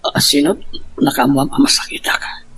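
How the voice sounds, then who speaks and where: A man playing a bitter, ailing, heartbroken husband with a husky, creaky, halting voice in an amateur radio-style love drama. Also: a bit bright, lightly acted, rough recording.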